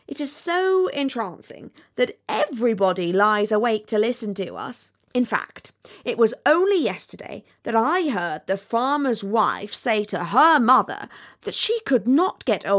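Lady doing a little frog guy from a storybook, high voice, super chatty, gushy and pleased with himself. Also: fairly bright tone. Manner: gushing, complacent, talks without pause. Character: chatty, smug, self-satisfied little male frog. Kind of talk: storybook character voice.

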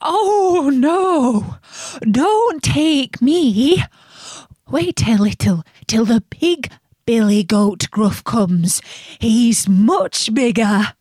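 A woman's voice: on the high side, lively intonation, extremely slow, darkish tone, no room sound, clean studio sound, voice pushed up, full character voice. A woman voicing a nervous, pleading middle-sized billy goat in a storybook tale.

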